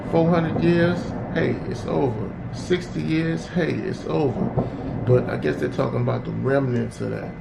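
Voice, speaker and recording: Gravelly, laid-back man in a noisy room. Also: on the high side, normal speed. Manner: laid-back, repeats "you know what I'm saying". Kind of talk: casual podcast chat. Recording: echoey room with loud background noise.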